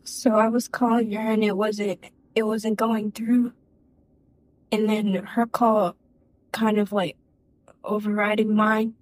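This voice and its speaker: Female witness, slow and flat testimony, halting with fillers, dry sound. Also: medium pitch, no room sound, poor audio. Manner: halting and hesitant, lots of "like" and restarts. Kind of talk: courtroom testimony.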